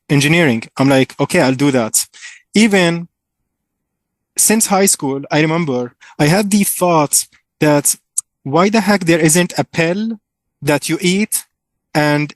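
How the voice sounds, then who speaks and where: A man in his thirties, born in Syria with Arabic as his first language, giving an enthusiastic, informal startup pitch at a conference. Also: no echo. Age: 30s. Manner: enthusiastic, informal, thinks aloud with fillers. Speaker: startup founder presenting.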